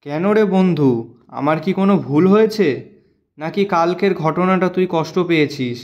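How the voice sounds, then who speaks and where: A man voicing an honest, gentle shopkeeper who pleads with his friend in a moral-story cartoon. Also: on the high side, moderate pace, muffled recording, lightly acted. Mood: puzzled, worried. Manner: earnest, pleading, lamenting.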